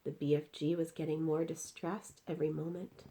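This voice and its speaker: A woman reading a children's story aloud in an expressive narrating style. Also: sits low, normal speed. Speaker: story reader.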